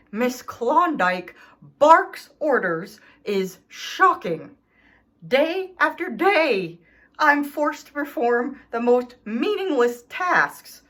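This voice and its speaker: Woman voicing an indignant, animated storybook dog. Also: fairly high pitch, heavily acted. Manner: theatrical, aggrieved, emphatic. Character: indignant, self-pitying, melodramatic male dog writing letters home. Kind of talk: expressive children's storybook read-aloud.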